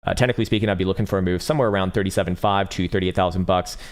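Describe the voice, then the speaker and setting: Raspy, tired man talking fast on a livestream. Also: low-pitched, steady tone. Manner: rambling, profane, with frequent fillers and restarts; reads viewer chat aloud. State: tired, short on sleep. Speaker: crypto livestream host. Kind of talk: casual livestream chart analysis.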